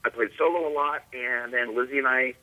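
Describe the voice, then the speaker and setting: A man reminiscing casually as a guest on a call, halting and often repeating his words. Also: medium pitch, steady tone. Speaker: guest musician. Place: remote call into the show. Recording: dull, lo-fi call audio.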